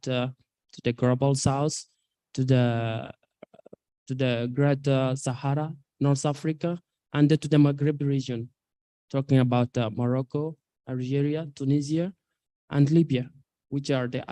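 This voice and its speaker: A man in his twenties from South Sudan, an activist speaking earnestly at a public talk, with halting delivery and many fillers. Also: mid-range voice, little pitch movement, mellow, dry recording. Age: 20s. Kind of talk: personal testimony at a public talk.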